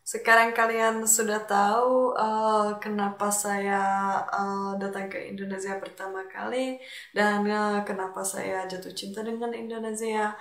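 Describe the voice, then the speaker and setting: A woman's voice: mid-range voice, level tone, measured pace, a bit bright. Echoey Czech-accented woman vlogger. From Czech Republic. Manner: hesitant, searching for words. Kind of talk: casual personal talk to viewers. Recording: echoey, roomy sound.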